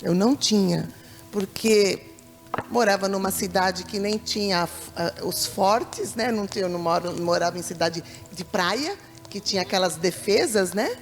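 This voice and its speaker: Brazilian woman with gravelly voice, reverb. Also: medium pitch, faint music behind. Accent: Brazilian Portuguese. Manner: thoughtful, halting, repeats words while searching. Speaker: deaconess. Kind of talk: church talk show conversation.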